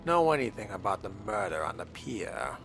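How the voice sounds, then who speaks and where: A man voicing an aloof, curt fledgling vampire in a measured, dry manner for a video game role-play. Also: fairly low pitch, very animated, fairly bright tone, soft background music.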